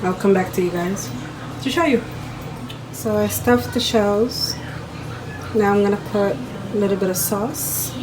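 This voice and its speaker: A woman's voice: mid-range voice, a little slow, pauses often. A woman chatting informally and directly to her viewers as she films a home cooking video. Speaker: home cook vlogger. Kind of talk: casual chat to viewers while cooking. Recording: clearly noisy home video recording.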